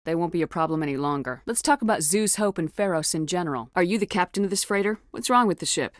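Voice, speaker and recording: A woman's voice: fairly low pitch, animated, quick pace, dry recording, clean studio sound, natural voice. An actress playing a tough, resolute woman starship commander in a video game, speaking bluntly and with authority. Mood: businesslike, direct. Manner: blunt, authoritative, clipped questioning.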